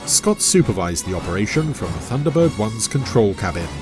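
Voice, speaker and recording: Lively male adventure narrator over music. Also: on the low side, normal speed. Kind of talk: dramatic scripted adventure narration. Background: music and vehicle sound effects.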